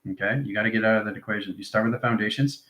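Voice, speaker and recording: The recording is noticeably echoey around a man with a fairly low voice. He teaches in an improvised esoteric monologue. His phrases come as incantatory strings of technical-sounding terms. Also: racing, fairly bright tone. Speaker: instructor, channel presenter. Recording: echoey, reverberant room sound.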